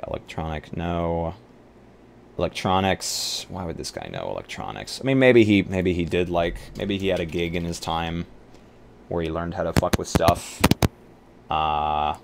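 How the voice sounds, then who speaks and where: A man chatting casually on a tabletop roleplay livestream, thinking aloud and bantering with some profanity. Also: very deep voice. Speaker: tabletop roleplay streamer and player. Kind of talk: casual livestream chat while building a game character. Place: live online game stream. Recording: clear background noise.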